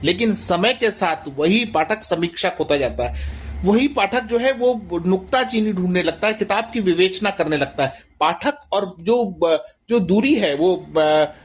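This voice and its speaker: High-pitched Indian man, earnest and emphatic. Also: breathy edge. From Bastar, India. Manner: earnest, emphatic repetition, rhetorical phrasing. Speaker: guest author. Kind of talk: reflective literary interview answers.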